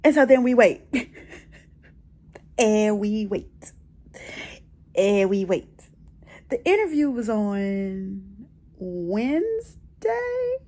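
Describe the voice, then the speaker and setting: A woman's voice: medium pitch, very animated, very slow, long pauses, mellow. A middle-aged American woman chatting casually in a personal vlog, telling her story expressively and repeating phrases for emphasis. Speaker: personal vlogger. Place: bedroom at home.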